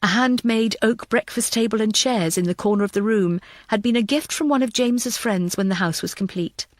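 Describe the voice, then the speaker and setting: A woman's voice: mid-range voice, dry recording, studio quality. A woman reading scripted thriller fiction as an audiobook narrator.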